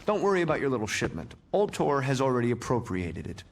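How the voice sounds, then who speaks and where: An actor playing a cold, smug corporate security villain in a video game, speaking dismissively. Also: male voice, medium pitch, very animated, fast talker, slight background noise. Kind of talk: video game cutscene acting.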